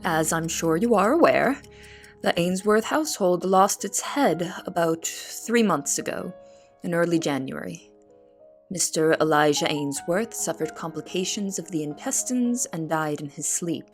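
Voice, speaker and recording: Woman playing an earnest young cook, lowish voice, music underneath. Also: expressive. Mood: solemn, measured. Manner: formal, measured, articulate. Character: Anne Marie, earnest young female cook writing testimony. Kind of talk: audio drama, formal letter narration and dialogue. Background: instrumental music.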